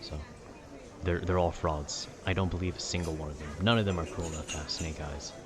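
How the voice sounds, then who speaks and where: A man's voice: deep voice, steady tone, brisk, music in the background. A man chatting casually in a laid-back, meandering, slangy way, as if rambling to a friend about video games. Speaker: audio roleplay creator.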